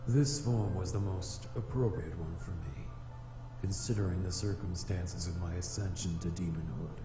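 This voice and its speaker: Man voicing a deep, echoing, haughty fire demon. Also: moderate pace, dark tone, lightly acted. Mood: aloof, explanatory. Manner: formal, stiff, haughty diction. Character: solemn male fire demon, vengeful, aloof, easily flustered. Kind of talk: visual novel character acting. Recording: echoey reverb with music behind.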